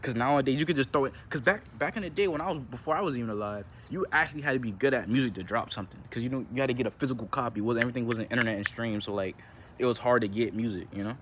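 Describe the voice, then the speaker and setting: A man's voice: mid-range voice, very fast, a little hiss or hum. A young man chatting casually in a rambling vlog, slangy and laid-back, with a nonchalant delivery. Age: young adult. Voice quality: monotone at times. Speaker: vlogger and amateur musician. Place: outdoors.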